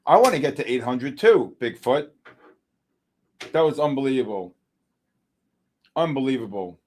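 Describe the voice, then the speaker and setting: A man's voice: medium pitch, normal speed. A middle-aged man hosting a live trading stream, joking and hyping up his viewers. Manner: excitable, joking, hypes viewers. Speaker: livestream host.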